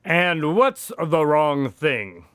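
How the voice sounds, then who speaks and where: A man's voice: on the high side, very animated, extremely slow, fairly bright tone, clear, resonant tone, dry recording, clean studio sound, heavily acted. A man playing a detective's skeptical doctor sidekick in a radio drama, wry and often exasperated. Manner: wry, incredulous.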